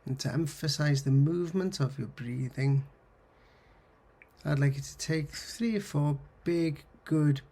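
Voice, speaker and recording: Male meditation guide: unhurried, gravelly and husky, soothing, gently instructive, medium pitch. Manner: soothing, gently instructive, pausing between short phrases. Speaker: counsellor guiding mindfulness. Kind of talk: guided meditation, body scan.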